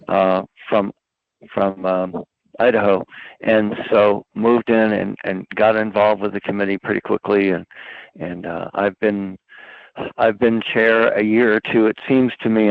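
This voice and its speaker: Older man, gravelly, on a tinny line. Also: lowish voice. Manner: halting, many fillers and restarts. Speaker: committee member. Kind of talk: self-introduction at a meeting. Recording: tinny phone-line audio.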